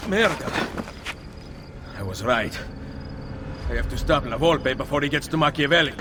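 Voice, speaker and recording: An actor playing a commanding Italian assassin leader, resolute and dry, with an Italian accent, in a video game. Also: male voice, medium pitch, lively intonation, normal speed, background noise, lightly acted. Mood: urgent. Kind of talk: dramatic video game acting.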